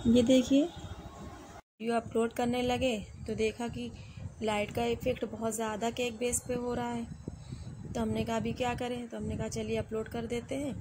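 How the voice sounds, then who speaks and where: Calm woman explaining cake steps. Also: a little high, normal speed, noisy background. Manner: calm step-by-step instructions. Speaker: home baker. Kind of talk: cooking tutorial narration.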